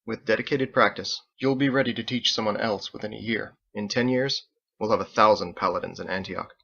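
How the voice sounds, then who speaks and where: A man voicing a courteous, resolute paladin leader with formal, measured phrasing, in an illustrated story reading. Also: lowish voice, gravelly, pushed and bright, dull audio, exaggerated voice.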